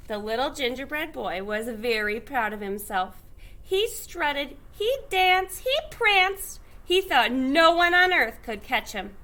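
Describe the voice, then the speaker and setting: A woman's voice: a little high, bright, forceful tone. A woman reading a children's story aloud expressively, with emphatic stretched phrases and little explanatory asides. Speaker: storybook reader.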